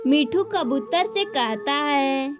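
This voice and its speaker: A woman's voice: high voice, lively intonation, takes it slowly. A woman reading a scripted children's story as narrator, with music playing behind her.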